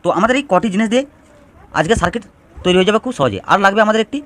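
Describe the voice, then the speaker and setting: A man's voice: high voice, animated, racing, a bit bright. A man giving an informal step-by-step DIY electronics tutorial, explaining and restating phrases as he goes.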